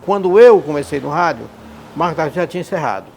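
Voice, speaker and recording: A man's voice: on the high side, animated, quick pace, darkish tone, a little hiss or hum. A man with a Northeastern Brazilian accent reminiscing in an interview, repeating phrases for emphasis. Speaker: radio professional and columnist, interviewee.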